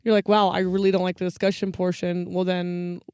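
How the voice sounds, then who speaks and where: A man's voice: sits high, level tone, fairly quick, a little mellow, clear, resonant tone, dry recording, studio quality. A man co-hosting a podcast in informal, playful casual chat. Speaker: podcast co-host.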